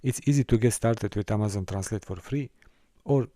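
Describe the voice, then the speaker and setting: A man from Serbia, teaching a course and walking through a software console, with careful, halting phrasing. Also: on the low side, no room sound, studio quality. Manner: careful, halting delivery with small restarts and non-native phrasing. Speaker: course instructor. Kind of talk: screen-recorded software tutorial.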